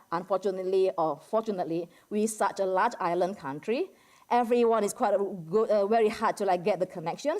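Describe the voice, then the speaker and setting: Woman, Indonesian accent, academic conference speaker, informal presentation, conversational, halting phrasing, frequent casual fillers. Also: medium pitch, darkish tone. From Medan, Indonesia. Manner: conversational and halting, peppered with "like" and "you know". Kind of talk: informal economics presentation to a general audience.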